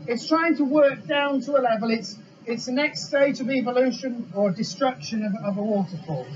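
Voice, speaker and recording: A middle-aged man explains as an expert, projecting a very high-pitched voice. He speaks outdoors with clear rushing water noise, and the sound is slightly dull. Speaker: geology expert. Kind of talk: unscripted explanation in an interview. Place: outdoors beside a roaring waterfall.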